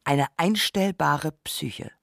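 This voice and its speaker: Gravelly woman reading a radio essay. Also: low voice, slow pace, a bit bright, dry recording, studio quality. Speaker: radio play performer. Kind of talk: scripted literary radio essay, dramatic reading.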